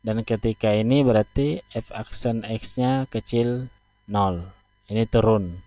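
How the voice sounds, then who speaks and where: A man teaches a math lesson, pausing often as he works through a graph. His voice sits fairly low and moves at a very unhurried pace. The recording is dry and a little dull, like a cheap lo-fi mic. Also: dark tone, dry recording. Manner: slow, deliberate, pauses often. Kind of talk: explaining at a board.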